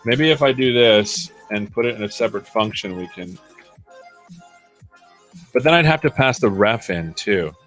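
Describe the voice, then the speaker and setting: A man's voice: on the low side, lively intonation, moderate pace, pauses often, a bit bright, soft background music. A man hosting a developer livestream, coding live and chatting as he works, often muttering to himself. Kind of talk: live coding, thinking aloud.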